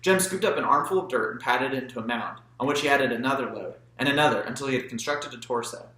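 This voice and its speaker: A man's voice: medium pitch, quick pace, sharp tone, echoey room, poor audio. A man reading a literary audiobook as narrator, in scripted storytelling style. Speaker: audiobook reader.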